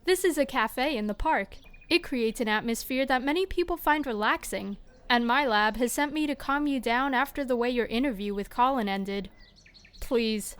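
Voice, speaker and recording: A woman's voice: on the high side, lively intonation, moderate pace, clean tone, close to an everyday voice. A woman playing an odd, politely formal laboratory spokeswoman in an audio drama, serenely literal and precise. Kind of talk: scripted audio fiction acting.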